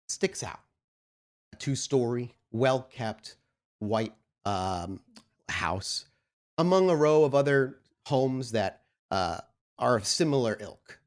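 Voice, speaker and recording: A man working as game master, improvising descriptive narration for a tabletop role-playing game in a halting, deliberate way. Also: lowish voice, a little mellow.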